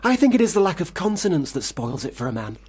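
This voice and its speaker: An actor in a radio play, a man, playing a failed, self-pitying young gentleman writer with formal, wordy diction. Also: fairly high pitch, sing-song, fast, husky, no echo. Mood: boyish, boastful. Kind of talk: radio play monologue and dialogue.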